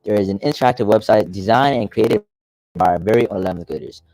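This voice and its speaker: A man hosting a radio show with scripted, deliberate, presentational announcing. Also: fairly low pitch, warm tone, no room sound, low-quality recording.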